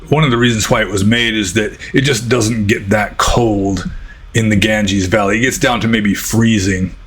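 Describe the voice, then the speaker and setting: A man with an American accent and a husky voice, talking informally and a little ramblingly as a Buddhist teacher answering questions. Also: lowish voice. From United States.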